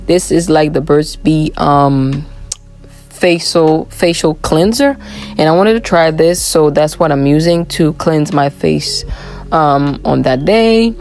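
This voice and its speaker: A woman narrating a voiceover for her morning routine vlog, hoarse from a cold, chatty and relaxed with frequent "um"s. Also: deep voice. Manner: relaxed, chatty, many "um" fillers. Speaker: vlogger.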